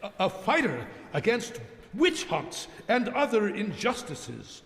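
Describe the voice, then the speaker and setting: A man's voice: sits high, huge pitch swings, slow, creaky, fairly bright tone, heavily acted. An actor playing a pompous, grandiose male party announcer giving a political rally speech in a game cutscene. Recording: echoing hall with long reverb.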